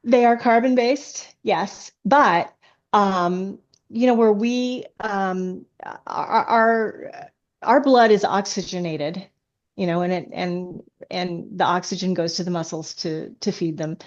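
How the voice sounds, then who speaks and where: A woman's voice: medium pitch, slow pace, muffled recording. A woman chatting as an interview guest, an author explaining things enthusiastically in a casual way. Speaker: guest, science fiction author.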